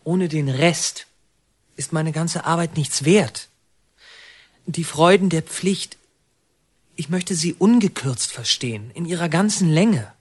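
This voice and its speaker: Young reformatory inmate, stubborn, serious, husky voice, deliberate, radio drama. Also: male voice, a little high, dry recording. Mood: earnest, stubborn. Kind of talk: German radio drama acting.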